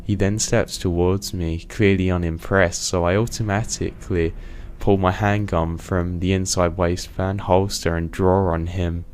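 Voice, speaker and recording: Man, very low voice, tense first-person horror narration, faint background noise. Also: level tone, measured pace, a little mellow.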